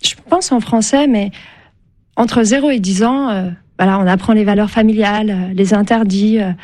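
A woman's voice: medium pitch, moderate pace, darkish tone. Hesitant Turkish-born woman novelist. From Turkey. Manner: thoughtful, hesitant with many fillers and restarts. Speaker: novelist guest. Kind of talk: radio interview.

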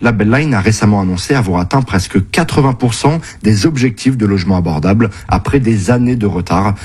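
A man narrating a scripted news report, with a husky voice. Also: fairly low pitch, animated, a little fast, darkish tone.

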